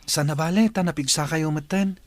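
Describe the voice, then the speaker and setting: An actor playing an eager, polite young son in a radio drama. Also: male voice, on the high side, a little fast, close to an everyday voice. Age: young adult.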